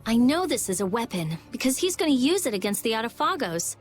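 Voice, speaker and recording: An actress voicing a brainy, blunt teenage girl scholar in a video game, brisk and matter-of-fact. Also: fairly high pitch, big pitch swings, soft background music. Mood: analytical.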